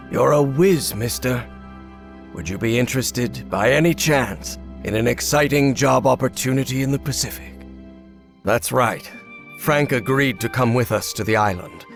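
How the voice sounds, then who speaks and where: An actor playing a guilt-ridden older professor in a video game, speaking in a halting, grave, confessional way. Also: male voice, mid-range voice, vocal fry. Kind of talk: dramatic video game acting.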